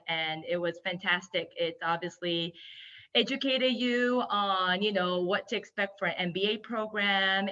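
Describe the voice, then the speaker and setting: Female guest speaker for a webinar, Japanese native, bright and enthusiastic delivery, slightly muffled online-call sound. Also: medium pitch. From Yokohama, Japan. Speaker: guest speaker, hospitality entrepreneur. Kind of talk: webinar presentation. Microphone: video call.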